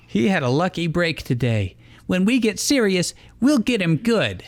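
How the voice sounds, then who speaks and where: A man's voice: sits high, big pitch swings, normal speed, dry recording, pitched above the performer's own voice. A man voicing a cocky, hot-headed young woman trainer who sounds indignant and snarling.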